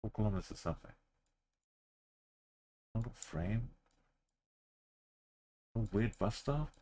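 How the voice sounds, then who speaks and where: A deep-voiced man talks slowly through his game. He keeps to short, clipped phrases, mostly talking to himself. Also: animated, mellow. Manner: muttering, terse thinking aloud. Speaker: streamer. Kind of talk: commentary while playing a game.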